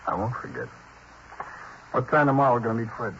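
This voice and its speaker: A quick, tense American male voice on the low side, an actor playing an impatient small-time robber for old-time radio. He is blunt, slangy and demanding. The recording is slightly muffled and hissy. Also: fairly bright tone, lightly acted. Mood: pushy. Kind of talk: 1950s radio crime drama acting. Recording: muffled, faintly hissy 1950s radio broadcast.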